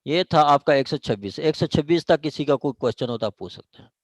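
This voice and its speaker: A man's voice: fairly high pitch, animated, fast talker, no room sound. A man with a Pakistani accent giving an informal law lecture, explaining step by step and repeating his phrases.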